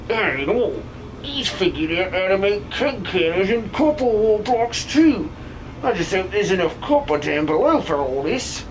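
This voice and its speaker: A man voicing an excitable, colloquial miner villager in an improvised video game fan dub. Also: high-pitched, unhurried, a little hiss or hum, rough recording. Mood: impressed. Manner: colloquial, exclamatory.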